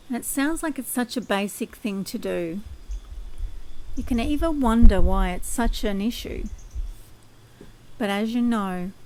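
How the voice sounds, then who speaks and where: A woman leading guided sleep hypnosis in a soothing, unhurried, measured manner. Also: medium pitch, a little mellow.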